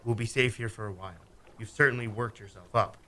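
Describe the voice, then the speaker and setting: An actor playing a cold, quiet killer who taunts his victim in a community radio play. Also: on the low side, steady tone, fast talker, slight background noise. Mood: calm menace. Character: cold, sly male killer, calm and menacing. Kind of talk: amateur radio drama acting.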